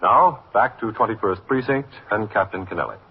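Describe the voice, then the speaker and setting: A man with a low, husky voice announces in 1950s radio style. His pitch moves boldly. The recording is old and muffled. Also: lively intonation, normal speed.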